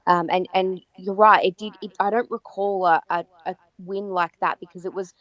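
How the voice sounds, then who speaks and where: Aussie woman, croaky, keen and rambling a bit, dry recording. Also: mid-range voice, steady tone, moderate pace, no echo, dull audio. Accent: Australian. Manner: enthusiastic, many fillers and restarts. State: croaky voice from yelling. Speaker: podcast co-host. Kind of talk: casual chat about football.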